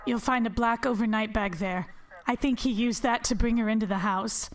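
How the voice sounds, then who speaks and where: A woman's voice: fairly high pitch, normal speed, lightly acted. An actress playing a haunted, defiant woman who is locked up, in an audio drama. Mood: haunted, matter-of-fact.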